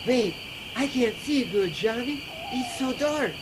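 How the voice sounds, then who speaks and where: A woman's voice: fairly high pitch, lively intonation, slow, background noise. A woman playing a timid, hesitant doctor, a criminal's hapless sidekick, in a radio play. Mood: nervous. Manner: hesitant, placating.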